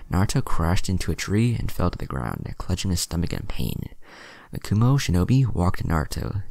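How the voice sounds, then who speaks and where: A man reading a fan-written ninja story aloud in a dramatic, expressive way. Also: low voice, gravelly.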